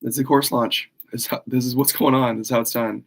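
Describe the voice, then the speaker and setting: A man with a cold and a worn, hoarse voice, rambling casually through a live stream as he launches his course. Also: mid-range voice, steady tone, fairly quick. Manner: rambling, self-deprecating, frequent fillers.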